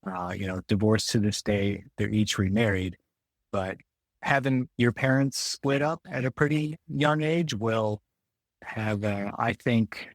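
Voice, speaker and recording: An American man in his forties speaks with a fairly low voice in a dry space. He talks as a podcast guest, reflective and halting, often rewording a thought midway through. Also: no echo. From Detroit area, Michigan, United States. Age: 40s. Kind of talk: casual interview conversation.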